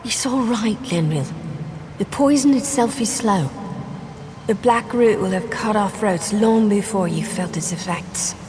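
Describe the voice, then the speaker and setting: A woman's voice: mid-range voice, a little slow, close to an everyday voice. A woman playing a resolute, self-sacrificing elf village defender in a fantasy video game, solemn and commanding. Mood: soothing, grave. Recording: long reverb, loud background noise.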